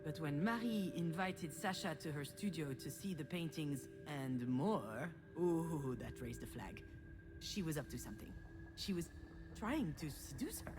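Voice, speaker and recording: A woman playing a wry, self-deprecating, guilt-ridden sister who confides in a conversational way in a narrative game. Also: sits low. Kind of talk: introspective first-person narration and dialogue in a narrative game.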